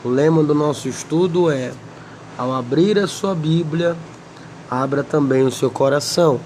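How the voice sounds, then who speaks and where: A man with a Brazilian Portuguese accent, slightly hoarse, teaching a Bible lesson and reading scripture slowly with many pauses. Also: on the high side, a little mellow, a little hiss or hum. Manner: slow, deliberate, pausing often, asks "amém?".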